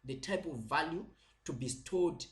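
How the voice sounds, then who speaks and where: A man giving a slow, patient recorded lecture over slides, repeating points and using many fillers. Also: a little high, a bit bright.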